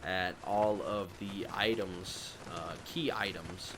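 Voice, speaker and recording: A man with a deep voice gives casual walkthrough commentary as a gaming host. His delivery is laid-back and halting. Game ambience is clearly audible behind him. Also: steady tone. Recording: voice-over with noisy game ambience.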